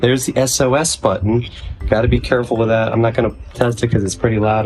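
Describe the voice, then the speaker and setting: A man's voice: medium pitch, darkish tone, poor audio. A man giving a casual, conversational product demonstration as a video reviewer.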